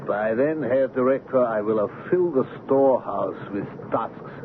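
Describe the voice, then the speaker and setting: A man's voice: medium pitch, normal speed, natural voice. A man playing an eager, greedy Dutch ivory trader in a radio drama, formal and grandiose. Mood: dutiful. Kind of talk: old-time radio drama acting. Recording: muffled old radio broadcast, noisy background.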